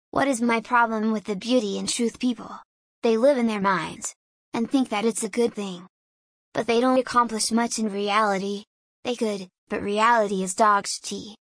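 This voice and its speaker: A woman's voice: medium pitch, a bit bright, no room sound. Woman musing aloud, dry sound. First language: Hungarian. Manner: deliberate, philosophical, digressive. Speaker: small business owner. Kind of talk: reflective solo monologue.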